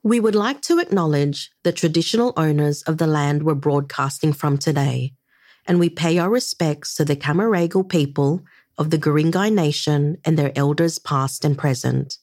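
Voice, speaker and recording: A woman hosting a radio podcast, speaking in a gentle, measured way. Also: low voice, no echo, clean studio sound. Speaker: podcast host. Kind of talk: scripted radio presenting.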